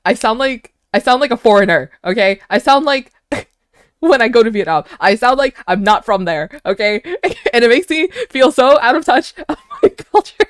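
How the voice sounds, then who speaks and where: A woman's voice: a little high, animated, normal speed, dry recording, studio quality. Giggly young American woman streamer. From United States. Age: young adult. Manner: chatty, giggly, hesitant restarts. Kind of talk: casual chat with viewers.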